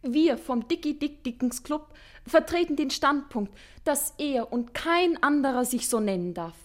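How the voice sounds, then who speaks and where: Really high voiced fangirl in an old radio satire, earnest speech, totally adoring. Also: expressive, a little slow. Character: gushing young female fan club devotee of a gangster. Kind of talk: 1950s German radio crime satire acting.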